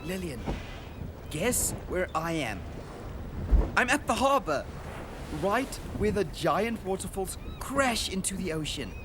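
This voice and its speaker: A man's voice: sits high, sing-song, slow, long pauses, background noise, full character voice. A man playing an earnest, wonder-struck boy traveler in a radio drama, speaking as if recording an audio diary. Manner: earnest, descriptive, full of wonder.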